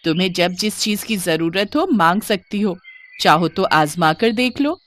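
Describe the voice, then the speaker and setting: A woman's voice: fairly low pitch, a little slow, close to an everyday voice. A woman voicing a friendly, generous magical female buffalo who talks earnestly in a children's story. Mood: grateful, generous.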